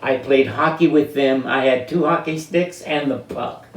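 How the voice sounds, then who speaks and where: An elderly man in his eighties from the United States telling personal stories in an unscripted, halting, deliberate way, with long pauses and drawn-out words. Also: mid-range voice. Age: 80s. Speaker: interviewee telling life stories. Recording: roomy, distant microphone.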